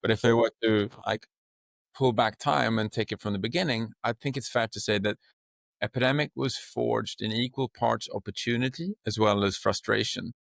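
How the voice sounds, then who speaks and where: A man's voice: lowish voice, normal speed, gravelly, dry recording. A middle-aged man from Sweden with a Swedish accent, a podcast guest speaking expansively and in vivid images. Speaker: guest, company co-founder. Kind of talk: podcast interview.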